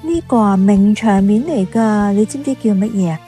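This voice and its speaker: A woman's voice: medium pitch, moderate pace, dark tone, faint music behind, lightly acted. A woman playing a chatty, curious café worker in a radio drama, inquisitive and teasing toward younger customers.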